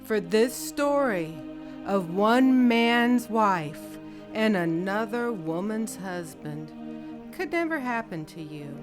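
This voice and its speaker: Woman as slow, solemn radio drama narrator. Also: fairly high pitch. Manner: theatrical, deliberate, rhetorical. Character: solemn female narrator challenging the listener to judge lovers.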